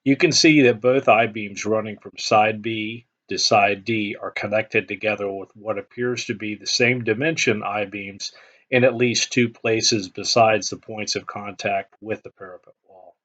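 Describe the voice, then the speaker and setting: A man giving a measured, matter-of-fact technical narration as the host of a hobbyist channel. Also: lowish voice, fairly bright tone. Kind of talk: explanatory scripted narration.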